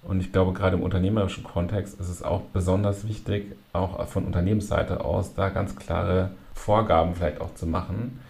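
Male host-interviewer; deep, gravelly voice; measured and thoughtful. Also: little pitch movement, darkish tone. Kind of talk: conversational interview.

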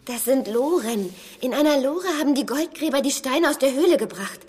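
A woman playing a bright, knowing young girl toy figure in a German children's audio drama. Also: high voice, expressive, normal speed, slightly rough. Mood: confident, helpful.

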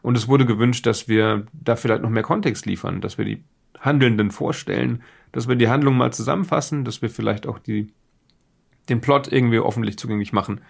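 A man's voice: mid-range voice, brisk, creaky, slightly rough. A man hosting a podcast, explaining things casually and off the cuff in a rambling, self-deprecating way. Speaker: podcast host. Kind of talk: casual unscripted podcast introduction.

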